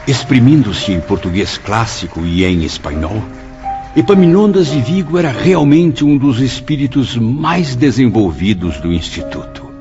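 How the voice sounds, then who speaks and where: A man acting as an earnest spirit telling his own tale in a radio drama. The literary narration is mid-pitched and animated, over clear music in a dull recording. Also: normal speed, lightly acted. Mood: earnest, admiring. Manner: literary, expressive.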